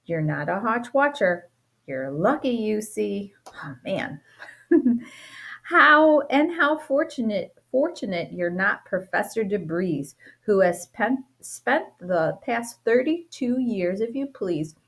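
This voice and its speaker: Woman, teacher, children's storybook read-aloud, slow unhurried delivery, playful sing-song rhymes, middle pitch. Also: animated, fairly bright tone.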